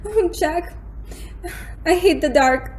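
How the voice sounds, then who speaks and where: Woman playing an impressionable young lady, high-pitched, dramatic. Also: expressive, slow, a little hiss or hum, heavily acted. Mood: frightened. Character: anxious, impressionable young woman afraid of the dark. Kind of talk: stage-like radio drama acting.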